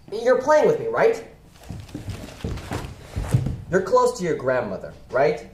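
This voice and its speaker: A woman's voice: fairly low pitch, very animated, slow. A woman student actor playing a scornful, argumentative loan shark in a stage play, with an emphatic, sardonic delivery. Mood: incredulous, mocking. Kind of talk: stage play acting in a one-act play. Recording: echoey theater room, faint noise.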